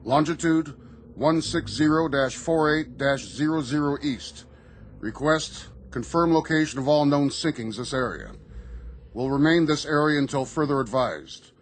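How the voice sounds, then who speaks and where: A man playing a crisp, obedient Navy communications officer in a radio drama, formal and procedural. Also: fairly high pitch, expressive, unhurried, slight background noise. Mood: crisp, businesslike. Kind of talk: radio theatre acting.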